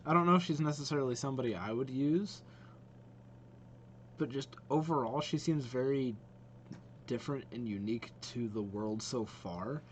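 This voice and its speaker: A young adult man hosting a reaction video with casual, expressive, informal commentary. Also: mid-range voice, normal speed, long pauses, slight background noise.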